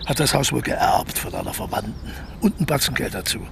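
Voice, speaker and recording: An actor in a naturalistic radio play playing a sly, embittered male ex-convict, speaking deliberately and often dropping close to a whisper. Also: medium pitch, lively intonation, gravelly, fairly bright tone, a little hiss or hum. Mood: secretive, savouring. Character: scheming, embittered ex-convict man plotting a lucrative burglary.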